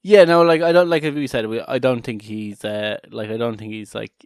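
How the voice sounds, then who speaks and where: A man's voice: medium pitch, steady tone, moderate pace, clear, resonant tone, no room sound, clean studio sound. A man co-hosting a casual comedic podcast, talking in a rambling, irreverent way with many restarted sentences. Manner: joking, irreverent. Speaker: podcast co-host.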